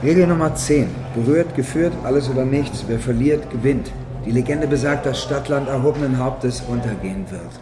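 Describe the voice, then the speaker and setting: An actor playing a cynical, coarse street hustler in a radio play, with a swaggering, declamatory manner. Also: male voice, medium pitch, moderate pace, few pauses, close to an everyday voice. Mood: boastful. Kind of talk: German radio play acting.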